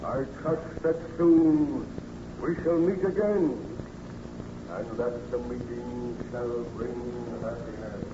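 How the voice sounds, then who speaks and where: An actor playing a hideous outcast monster, pleading and menacing in a solemn, declamatory old radio horror style. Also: male voice, medium pitch, close to an everyday voice. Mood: ominous. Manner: solemn, declamatory, drawn-out phrasing. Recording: noisy, muffled old radio recording.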